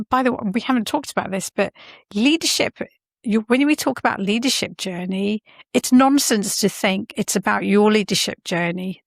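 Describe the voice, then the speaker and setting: Gravelly-voiced reflective woman guest. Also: mid-range voice, no room sound, clean studio sound. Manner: thoughtful, earnest, often restarts and self-corrects. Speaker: podcast guest, science and healthcare leader. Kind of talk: reflective interview conversation.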